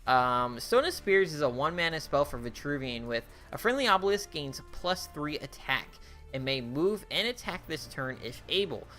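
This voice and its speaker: A man's voice: a little high, big pitch swings, moderate pace, bright, forceful tone, soft background music. A young man casually reviewing cards for a card game, thinking aloud with many fillers and restarts, his voice getting worn. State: losing his voice.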